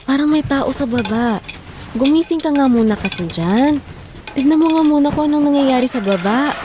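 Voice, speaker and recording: A woman's voice: high-pitched, animated, moderate pace, noisy background. A woman playing a weary, frustrated wife who worries over household money in a Filipino audio drama. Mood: alarmed, urgent. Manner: complaining, pleading, emotive.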